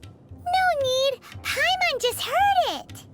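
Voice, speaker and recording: A woman voicing a small, excitable, food-loving flying fairy girl in a video game, squeaky and perky, speaking of herself by name. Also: very slow, exaggerated voice. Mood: perky, proud. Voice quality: squeaky, childlike. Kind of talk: video game character voice acting. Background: music.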